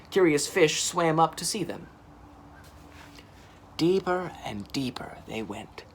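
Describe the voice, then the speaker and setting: A man reading a children's story aloud in an expressive, dramatic storytelling manner. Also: a little high, slight background noise. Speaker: storytime reader. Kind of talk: animated children's audiobook-style storytelling.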